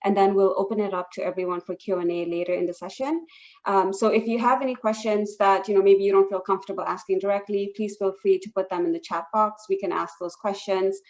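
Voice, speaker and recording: A woman hosts an online session in a friendly, loose way, dotting her talk with fillers. Her voice sits at a middle pitch. The video-call sound is a little dull. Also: fairly even intonation, quick pace, a little mellow. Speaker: webinar host.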